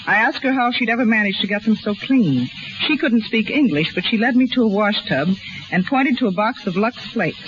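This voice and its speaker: A woman's voice: a little high, lively intonation, fairly quick, noisy background. Woman, 1930s radio guest, scripted storytelling with dry quips, dull lo-fi recording.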